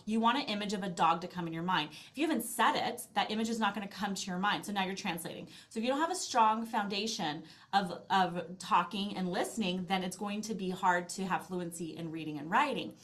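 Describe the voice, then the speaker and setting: A middle-aged woman talks as a guest in an interview. She explains her method earnestly and conversationally, often doubling back to restate a phrase, at an ordinary mid pitch. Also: a bit bright. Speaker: interview guest, language learning company founder.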